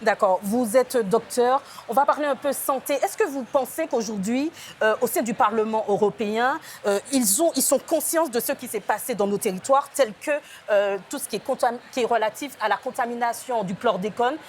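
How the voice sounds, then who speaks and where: Woman interviewer, quick questions, lots of d'accord, highish voice, faint hiss. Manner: brisk, with short acknowledgments.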